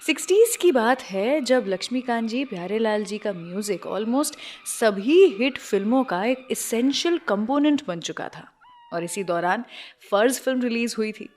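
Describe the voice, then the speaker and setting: A woman's voice: a little high, lively intonation, normal speed, a little mellow. An Indian-accented woman hosting a radio show with scripted narration, addressing listeners directly and mixing in English phrases. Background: music bed.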